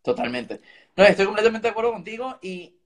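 A woman's voice: mid-range voice, sing-song, fast. A woman hosting an interview and replying to her guest in an agreeable, conversational way. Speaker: podcast host. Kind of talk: casual interview chat.

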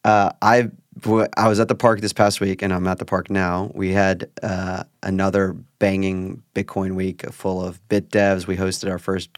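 Man, podcast host, low voice, rambling casual talk, dry sound. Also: flat delivery, moderate pace, dry recording. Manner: chatty, rambling, swears casually. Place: at a park.

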